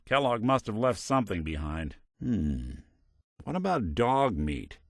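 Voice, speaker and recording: A low, expressive male synth detective from a video game. He talks like a noir private eye, gruff and sardonic yet loyal. The delivery is clipped and world-weary, recorded close and dry. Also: no room sound, exaggerated voice. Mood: focused, determined. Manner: wry, hard-boiled, clipped noir delivery.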